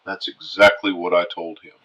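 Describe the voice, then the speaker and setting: A man acts out a retired fighter pilot major on a late-night radio drama call-in. His voice is bright and forceful. He talks bluntly and deliberately, with an uneasy candor. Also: low-pitched, steady tone, slightly rough, full character voice, poor audio. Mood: uneasy, hushed.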